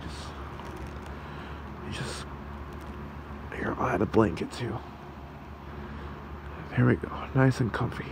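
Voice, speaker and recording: A man voicing a gentle, protective fox creature in an ASMR roleplay, with a soothing, halting, gravelly delivery. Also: medium pitch, noisy background. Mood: tender, reassuring. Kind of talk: ASMR roleplay, soft comforting talk.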